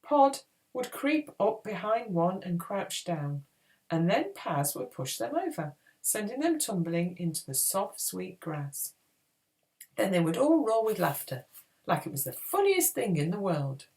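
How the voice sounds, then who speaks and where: A woman reading a children's adventure book aloud in a dramatic, expressive storytelling style. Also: medium pitch, measured pace. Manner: expressive, acts out dialogue. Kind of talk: dramatic read-aloud narration.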